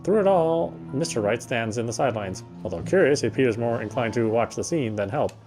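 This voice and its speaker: A man narrates a visual novel as he plays, in a middling pitch. He reads the prose aloud in an unpolished way, sometimes correcting himself. Faint game music sits underneath. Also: expressive, brisk. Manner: unpolished read with stumbles and corrections.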